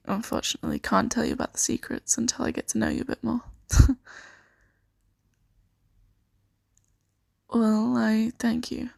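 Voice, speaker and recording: A woman improvising an ASMR roleplay as a kindly, earnest host of a fantasy sanctuary, speaking softly and reassuringly with some hesitation. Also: a little high, creaky, no echo, clean studio sound, full character voice. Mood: light, friendly. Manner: soft-spoken, gently hesitant, reassuring.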